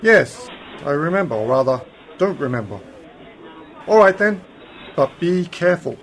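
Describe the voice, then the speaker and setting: A man playing an eccentric, knowing uncle and adventurer in an amateur science-fiction radio play, brisk and dismissive. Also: a little high, lively intonation. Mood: assured. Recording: faintly noisy home-made radio play recording.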